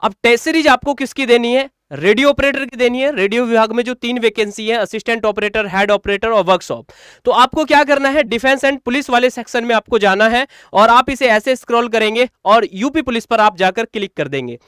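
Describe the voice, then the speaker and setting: Very high-pitched man explaining. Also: a little fast, a bit bright, smooth, dry recording, clean studio sound. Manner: repetitive, instructional, addresses viewers as friends. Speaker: exam coaching presenter. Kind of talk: screen tutorial, step-by-step explanation.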